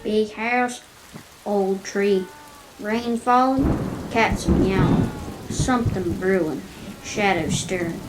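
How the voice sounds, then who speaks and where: A woman acts an ominous chorus voice for a radio play, intoning short phrases slowly. Pitch is medium, and loud storm noise covers the recording. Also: natural voice. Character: ominous chanting voice setting a stormy scene. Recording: loud rain and thunder over voice.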